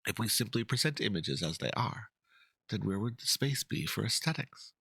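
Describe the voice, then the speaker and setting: A man performing a florid, fussy painter, bright and raspy. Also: lowish voice, expressive, moderate pace, dry recording, studio quality, full character voice. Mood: indignant, impassioned. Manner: florid, theatrical, pompous. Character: passionate, fussy male painter, florid and easily indignant. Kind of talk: dramatic reading of game dialogue.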